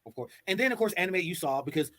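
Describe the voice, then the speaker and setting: A man hosting a casual livestream chat, excitable and playful, talking fast. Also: high-pitched. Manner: excitable, playful, puts on quick voices when quoting. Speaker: podcast host.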